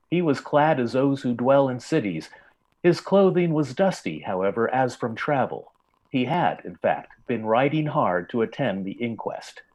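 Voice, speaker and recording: A man narrating a story in old-time radio style, with formal, measured literary delivery. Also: mid-range voice, poor audio.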